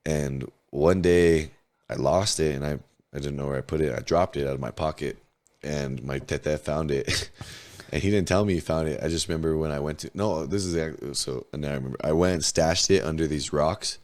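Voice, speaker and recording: A hoarse man in his twenties from New Mexico, a podcast guest telling candid, profane stories in heavy slang. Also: very deep voice, steady tone, moderate pace, a little mellow. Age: 20s. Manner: laid-back, profane, storytelling, heavy slang. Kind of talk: casual candid interview chat.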